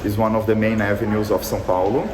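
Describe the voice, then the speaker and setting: A man's voice: fairly low pitch, flat delivery, fast. A man from southern Brazil with a Brazilian Portuguese accent, answering a street interview in English, hesitant and earnest, with many fillers and restarts. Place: outdoor polling site in a busy city crowd. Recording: echoey street with loud crowd.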